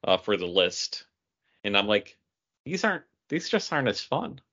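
A man co-hosts a comics podcast in relaxed conversational style. His voice sits low yet has a bright, forceful edge, and the recording is slightly band-limited. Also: moderate pace, breathy edge. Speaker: podcast co-host reviewing comic books. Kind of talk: casual chat. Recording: dull, band-limited audio.

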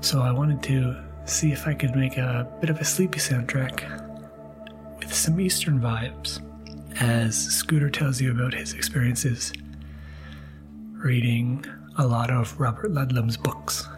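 A man giving a gentle, unhurried, casual introduction as the host of a podcast. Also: mid-range voice, fairly even intonation, over music.

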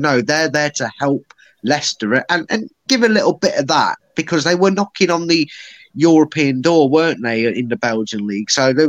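A man's voice: mid-range voice, no echo. A man explaining his view confidently and chattily on a football fan podcast. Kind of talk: casual chat.

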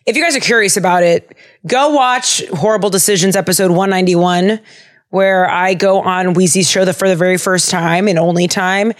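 A young woman hosting a podcast, chatting in a bubbly, animated way and laughing often. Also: lowish voice, moderate pace. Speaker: podcast host. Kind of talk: casual chat about the Bible.

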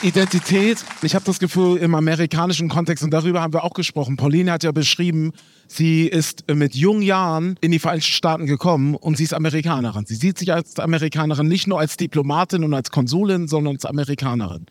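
A man's voice: fairly high pitch, fast, dry recording, a faint crowd. Polite male moderator, dry close sound. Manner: polite, thanks guests and asks questions. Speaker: podcast host and moderator. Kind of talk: moderating a live panel. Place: live event at an embassy.

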